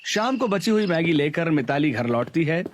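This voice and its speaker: A man's voice: a little high, big pitch swings, normal speed, slight background noise. A man reading scripted narration for a Hindi cartoon story.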